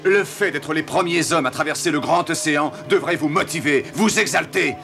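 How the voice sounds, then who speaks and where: An actor playing a resolute, persuasive explorer admiral in an animated historical series, speaking with declamatory authority. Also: male voice, a little high, moderate pace, creaky, music in the background.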